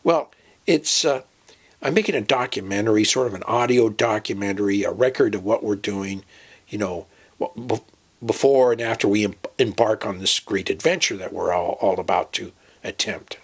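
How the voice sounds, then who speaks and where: Man playing a hesitant, husky-voiced adventurer. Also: lowish voice, normal speed. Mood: apologetic, explaining. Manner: hesitant, conversational. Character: earnest, agreeable man documenting his great adventure. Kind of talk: amateur audio drama dialogue.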